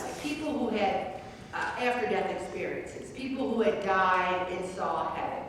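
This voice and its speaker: A woman's voice: medium pitch, a little hiss or hum. A woman in her seventies leading a faith-based weight loss group, telling chatty, humorous stories. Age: 70s. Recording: echoey hall, distant mic, faint ambience.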